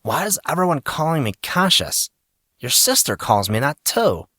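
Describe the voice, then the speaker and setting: A man's voice: fairly high pitch, sing-song, normal speed, sharp tone, no room sound, pitched above the performer's own voice, heavily acted. A man voicing a defiant teenage student with a dry, sarcastic manner in an audio story. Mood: puzzled. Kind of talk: audiobook character voice.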